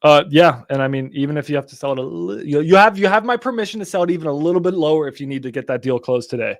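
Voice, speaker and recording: Young man, livestream host, casual teaching, excitable, higher voice, dry close sound. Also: racing, no echo. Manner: excitable, swears when exasperated, talks to chat. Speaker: real estate wholesaling livestream host.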